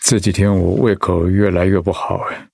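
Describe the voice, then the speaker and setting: An actor playing a seriously ill but patient, encouraging husband in a Mandarin radio drama. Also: male voice, low voice, flat delivery, moderate pace, gravelly, a little mellow, no room sound, clean studio sound. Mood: weak, gently probing. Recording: music under the voice.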